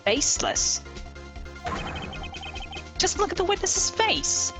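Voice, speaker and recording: Woman as an earnest young defense attorney, very expressive. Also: extremely high pitch, takes it slowly, full character voice. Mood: accusing, assertive. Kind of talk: reading visual novel game dialogue aloud. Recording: music behind. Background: game music.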